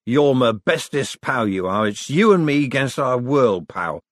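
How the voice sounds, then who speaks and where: A man voicing a deranged, feverish man who slurs and mumbles in halting, broken phrases with flashes of pomposity, as in an audiobook. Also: medium pitch, animated, dry recording, clean studio sound. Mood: slurred, maudlin. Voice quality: slurred, mumbling. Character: deranged, slurring, feverish man with imperious delusions. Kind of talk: audiobook character acting.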